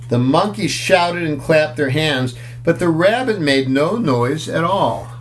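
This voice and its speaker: Slow, animated older man reading a storybook. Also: medium pitch. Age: 60s. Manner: expressive, playful, inviting listeners along. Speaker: children's storyteller. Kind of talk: picture book read-aloud with casual asides. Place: small room at home, indoors.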